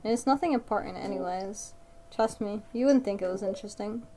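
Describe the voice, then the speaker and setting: A woman's voice: mid-range voice, brisk, mellow, a little hiss or hum, close to an everyday voice. A woman reading the part of a defensive, snappish teenage boy in a guarded, curt way.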